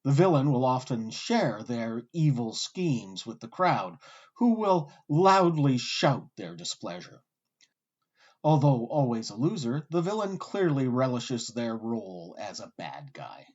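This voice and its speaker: A man hosting with scripted documentary narration, delivered in a wry, articulate storyteller's way with deliberate emphasis. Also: mid-range voice, big pitch swings, a little mellow, muffled recording. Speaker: host and narrator.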